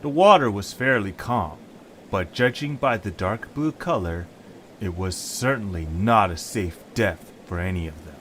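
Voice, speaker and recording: A man's voice: lowish voice, expressive, unhurried, a bit bright, slight background noise. A man narrating a scripted story as its storyteller.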